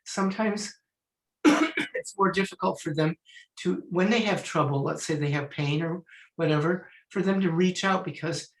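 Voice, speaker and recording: A man's voice: on the high side, moderate pace. Older man on an echoey video call. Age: 60s. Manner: chatty, anecdotal, clears throat. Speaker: guest, musician and Feldenkrais teacher. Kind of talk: online panel conversation.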